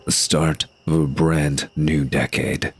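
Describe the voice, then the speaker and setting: A man's voice: rumbling low, flat delivery, slow pace, creaky, very noisy. A man giving scripted, present-tense narration as the narrator of an audio drama.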